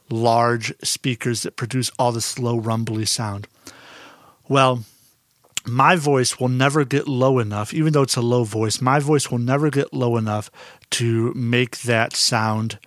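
A man with a naturally dark voice, giving a relaxed, conversational lesson with plenty of fillers and restarts. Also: mid-range voice, dry recording, clean studio sound. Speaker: audio instructor. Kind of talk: casual explanatory lesson.

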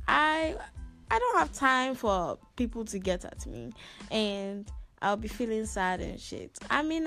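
Sassy young woman podcaster, slow and high-pitched. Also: fairly bright tone. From Ghana, lived there six plus years. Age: 20s. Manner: sassy, slangy, theatrically complaining. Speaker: podcast host. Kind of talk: casual solo podcast monologue.

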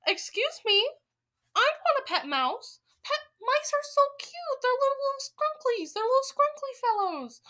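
A woman voicing a cutesy, indignant mouse lover in a squeaky, babyish, gushing way. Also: very animated, a little slow, pushed and bright, exaggerated voice.

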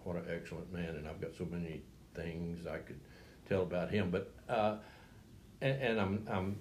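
Deep-voiced older coach, rambling. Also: male voice, steady tone, darkish tone, a little hiss or hum. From Texas. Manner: rambling, halting, with many restarts. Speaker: retired coach. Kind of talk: reflective interview talk.

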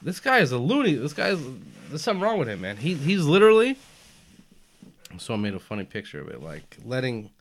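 A man's voice: medium pitch, brisk. Young guy ranting casually, voice jumping all over, says dude a lot. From United States, family from Albania. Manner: animated, emphatic, swears casually, says man and dude. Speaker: podcast guest. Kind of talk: casual chat.

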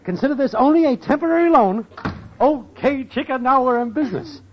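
An actor playing a scheming, jobless city hustler in an old radio comedy, with a slangy, wisecracking delivery. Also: male voice, very high-pitched, animated, fairly quick. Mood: brisk. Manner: slangy, wisecracking wise guy. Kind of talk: 1940s-50s radio comedy acting. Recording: muffled, noisy old radio recording.

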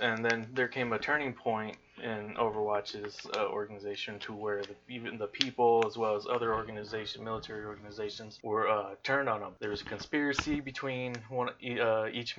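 Man, low flat voice, bright tone, halting with fillers, muffled lo-fi gameplay audio. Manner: halting, many fillers and restarts. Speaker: gamer explaining a game's story. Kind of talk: casual gameplay commentary. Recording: muffled lo-fi audio with faint noise.

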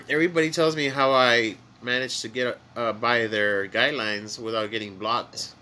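A man whose first language is Spanish, speaking with a Mexican accent as a podcast co-host, rambling in a relaxed way with many fillers while high. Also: a little slow. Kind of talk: casual chat.

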